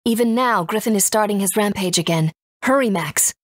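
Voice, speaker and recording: A woman's voice: mid-range voice, moderate pace, dry recording, close to an everyday voice. A woman playing a composed, authoritative guide in a video game, serious and explanatory. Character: composed, authoritative woman guiding young heroes.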